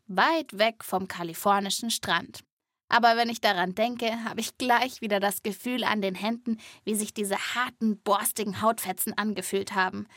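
A woman's voice: mid-range voice, a bit bright, no room sound. A woman hosting a children's podcast, telling stories as scripted narration and addressing young listeners directly, recorded in a studio.

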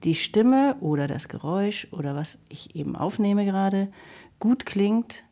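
A woman's voice: mid-range voice, animated, measured pace, mellow. A woman giving her opinions in a conversational interview, speaking as a director about her craft. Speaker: theatre and radio play director being interviewed.

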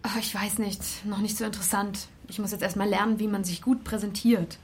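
An actress playing an insecure, easily flustered young student in a naturalistic radio drama, with halting delivery. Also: female voice, mid-range voice, steady tone, a little hiss or hum, natural voice. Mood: downcast, unsure. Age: young adult. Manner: unsure, halting.

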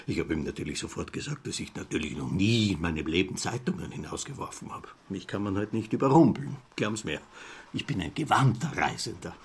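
Chatty middle-aged austrian man on a train, radio play, lowish rough voice, pleased with himself, rambles on. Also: expressive, brisk, heavily acted. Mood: self-satisfied. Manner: rambling, rhetorical questions, self-satisfied. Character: chatty, cosy greying male traveller, about fifty, self-important.

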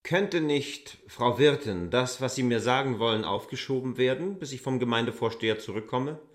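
An actor as a self-assured male land surveyor in a literary radio play. His voice sits at a middle pitch, and he talks brusquely, with formal politeness and little patience. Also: normal speed, natural voice. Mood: evasive, polite. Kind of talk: German literary radio drama acting.

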